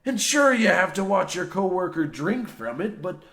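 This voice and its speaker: A man playing a gruff old coal mine foreman in an old-time radio comedy, blasé and folksy in archaic dialect. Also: high-pitched, very animated, moderate pace, fairly bright tone, full character voice. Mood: blustering, indignant. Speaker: radio play actor.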